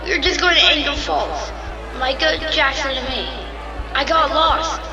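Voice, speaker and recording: A woman playing a frightened, guilt-ridden young boy in an audio drama, halting and tearfully pleading. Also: sits high, distant mic, lo-fi sound, over music, crowd noise. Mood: anxious, remorseful.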